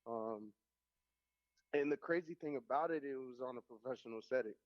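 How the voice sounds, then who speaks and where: Young Caribbean producer guest, easygoing rambling talker, medium-pitched voice, muffled lo-fi call line. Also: male voice, normal speed. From Caribbean, Jamaican and Haitian. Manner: relaxed, rambling, many fillers and restarts. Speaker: music producer, interview guest. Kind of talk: casual radio interview. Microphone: remote line, could not hear host.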